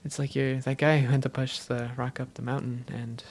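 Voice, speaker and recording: Woman playing a low, creaky-voiced affectionate vampire girlfriend. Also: level tone, darkish tone, slight background noise. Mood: hesitant, vulnerable. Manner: halting, teasing, intimate. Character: affectionate, self-doubting vampire girlfriend, playful and tender. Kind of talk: cozy roleplay audio, one-sided conversation.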